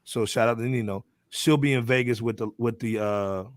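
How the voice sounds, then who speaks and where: A man's voice: mid-range voice, normal speed, no echo, clean studio sound. An American man hosting a music panel, talking casually in street slang and bantering with his panelists. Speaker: podcast host moderating a music panel.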